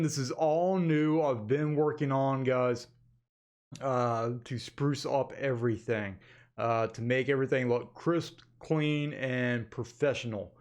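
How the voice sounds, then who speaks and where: A man's voice: medium pitch, a little mellow. A man with a mid-range voice hosts a casual webcam update for his channel. He talks informally and straight to his viewers, as if chatting with them. He is recorded indoors in an office on a desktop USB microphone. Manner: informal, addresses viewers as "guys" repeatedly. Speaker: animation channel host. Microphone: desktop USB condenser microphone.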